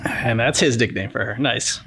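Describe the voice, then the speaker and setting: A woman's voice: extremely low pitch, normal speed, creaky, crowd noise. A woman giving dry, casual off-the-cuff commentary as a reaction host.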